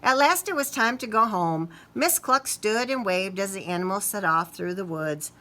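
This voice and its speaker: A woman reading a picture book aloud to young children expressively, pausing to ask them questions. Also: medium pitch, animated, pushed and bright. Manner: talks to young listeners, asking questions. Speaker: children's story reader.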